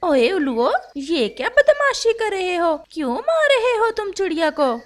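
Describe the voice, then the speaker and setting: A fiery female crow from a children's cartoon, voiced by a woman. Her voice is high and very animated, with a bossy, scolding edge. She sounds like the fearless protector of the flock. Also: normal speed, slight background noise. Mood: angry, commanding. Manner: scolding, commanding, blustery. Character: bold, protective, hot-tempered female crow. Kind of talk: animated children's story dialogue.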